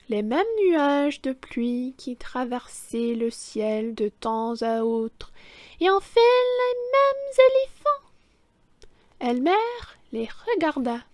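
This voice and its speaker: A woman reading a children's picture book aloud, deliberate and theatrical, stressing key words. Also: sits high, darkish tone, dry recording. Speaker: children's story reader, French teacher.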